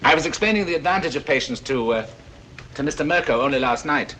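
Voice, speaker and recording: Bright, unctuous film villain. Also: male voice, a little high, lively intonation. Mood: politely menacing. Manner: unctuous, over-polite, deliberate phrasing. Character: smooth, patient, veiledly menacing villain. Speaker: film actor. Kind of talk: 1940s film acting. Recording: faintly noisy old film soundtrack.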